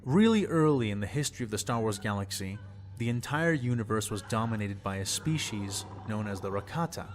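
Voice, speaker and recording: A young man, slightly hoarse, explaining fictional lore in a rambling, casual way and repeating words for emphasis. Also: on the low side, lively intonation, brisk, a little hiss or hum. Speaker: channel host.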